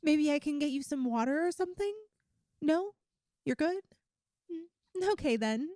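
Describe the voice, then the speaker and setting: A woman plays a young yandere girlfriend in an intimate ASMR roleplay, sweet, clingy and obsessive. Her voice is high and mellow, recorded very dry. She chats coyly to the listener, halting and stretching words for emphasis. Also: animated, dry recording. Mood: sweetly doting. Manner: coy, chatty, halting with drawn-out emphasis. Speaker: audio roleplay performer.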